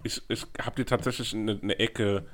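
Stuffy-nosed guy with a cold, kinda low voice, nasal, chill explaining. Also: fairly even intonation, moderate pace, a crowd in the background. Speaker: podcast co-host. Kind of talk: casual chat.

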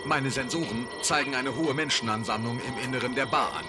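A man's voice: mid-range voice, brisk, very noisy, lightly acted. A man playing a dutiful, prim robot ship officer with formal, fussy manners in a German sci-fi audio drama. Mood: formal, eager.

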